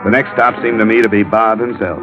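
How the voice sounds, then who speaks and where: An actor playing a tough, sardonic insurance investigator in an old-time radio drama, with an American accent and terse delivery. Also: male voice, lowish voice, steady tone, a little fast, over music, lightly acted. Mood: dry narration. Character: hard-boiled male insurance investigator, cool, tough and sardonic. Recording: muffled, hissy old radio recording.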